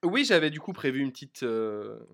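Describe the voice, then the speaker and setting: A man's voice: medium pitch, sing-song, moderate pace, creaky, a bit bright. Young man, mid-range voice, informal chat, podcast co-host. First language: French. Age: young adult. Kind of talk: casual chat.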